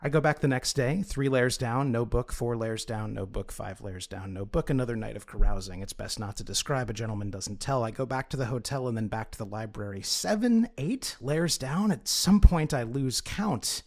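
A man playing a wry, obsessively determined gentleman book-hunter in tabletop roleplay, telling his tale theatrically. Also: mid-range voice, normal speed, hardly any pauses, close to an everyday voice. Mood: determined, dramatic. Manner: wry, theatrical, repetitive build-up. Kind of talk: in-character tabletop roleplay storytelling.

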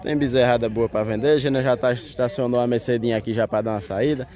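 A man's voice: mid-range voice, steady tone, quick pace, darkish tone. A man with a Northeastern Brazilian accent from Pernambuco, giving chatty, folksy commentary to viewers as he films outdoors on a phone. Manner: chatty, folksy, repeats phrases. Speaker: livestock fair vlogger. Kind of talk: casual running commentary to viewers with greetings. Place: outdoors at a livestock fair. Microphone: handheld phone behind the camera.

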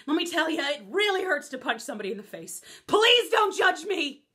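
A woman's voice: high voice, big pitch swings, fast talker, pushed and bright, pitched above the performer's own voice, full character voice. A woman playing a brash modern woman who tells a rambling story in a casual, crude way.

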